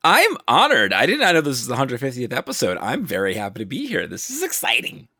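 A man's voice: medium pitch, huge pitch swings, quick pace, sharp tone, breathy edge, dry recording, studio quality. A man talking casually as a guest on a podcast. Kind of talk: casual chat.

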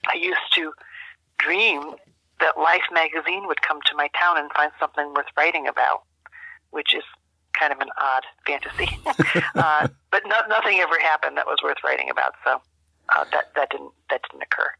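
An older woman speaking as an interview guest in a measured, thoughtful way, often searching for her words. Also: deep voice, creaky, sharp tone, husky, no room sound. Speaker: guest, journalist and journalism teacher. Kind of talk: reflective interview conversation. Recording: dull telephone-like line.

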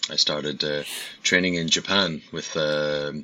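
A middle-aged man with a Northern Irish accent talks as a podcast guest. His voice is very deep and bright, with a sharp, forward edge. He stops often on fillers and starts his sentences over, recorded dry and close with no room sound. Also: fairly even intonation, unhurried, no room sound, muffled recording. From Northern Ireland. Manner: hesitant, many fillers and restarts. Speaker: guest, company founder. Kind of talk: podcast interview.

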